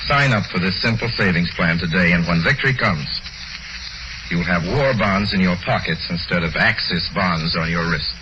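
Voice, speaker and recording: A man's voice: deep voice, moderate pace. An American man announcing on 1940s radio, reading a scripted appeal in an emphatic, formal, persuasive way. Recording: muffled, noisy 1940s radio broadcast.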